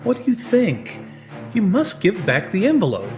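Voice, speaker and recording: An actor playing a menacing male stranger in an audio drama, taunting his prey in a deliberate, theatrical manner. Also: high-pitched, wildly swooping pitch, darkish tone, faint music behind. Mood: accusing, menacing. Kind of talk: audio drama villain acting.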